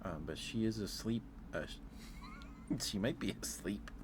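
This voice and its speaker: A man's voice: fairly low pitch, measured pace, noisy background. A man who streams ASMR, chatting casually and musing aloud in a hesitant, rambling way. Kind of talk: casual ASMR livestream chat.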